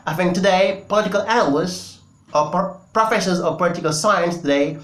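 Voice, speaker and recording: A man's voice: sits high, fairly quick, fairly bright tone, echoey room. A Senegalese man whose first language is Wolof, giving emphatic political commentary in English with many fillers. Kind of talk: opinion commentary to camera.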